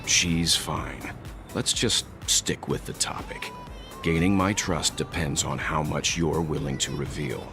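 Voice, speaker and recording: A guarded, authoritative spacefarer from a video game. His voice is extremely low and he speaks formally and deliberately. Music is clearly audible behind him. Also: wildly swooping pitch, sharp tone, exaggerated voice. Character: stern, composed, guarded male space-traveling crew veteran.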